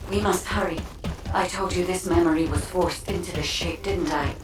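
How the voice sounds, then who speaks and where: An actress playing a solemn, mysterious female guide in a video game, speaking in a measured, formal way. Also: lowish voice, steady tone, lightly acted. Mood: urgent. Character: solemn, mysterious female guide leading a hero through memories. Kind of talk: scripted video game voice acting. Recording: echoey, distant, music behind.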